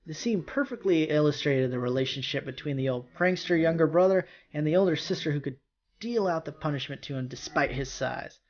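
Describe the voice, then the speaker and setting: A man's voice: on the high side, brisk, lo-fi sound. A man reading a visual novel's narration aloud, breaking off for casual reactions, with music behind him. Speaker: amateur visual novel reader and player.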